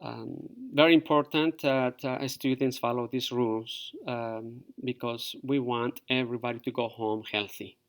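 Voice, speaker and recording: Middle-aged teacher, halting. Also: male voice, medium pitch, steady tone. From Peru. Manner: halting, many fillers. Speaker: fourth grade teacher. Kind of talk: virtual open house presentation to parents.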